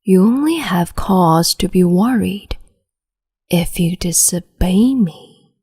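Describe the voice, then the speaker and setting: A woman voicing a cruel, capricious empress in an ASMR roleplay, whispering softly and condescendingly with sweet menace, close to the mic. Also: on the low side, full character voice. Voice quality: breathy whisper.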